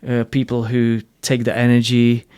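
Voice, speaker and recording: A man's voice: mid-range voice, completely flat delivery, moderate pace, a little mellow, smooth. A man with a Slovak accent hosting a podcast interview, talking bluntly and earnestly with frequent restarts and some swearing. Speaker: podcast host. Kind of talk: casual interview conversation.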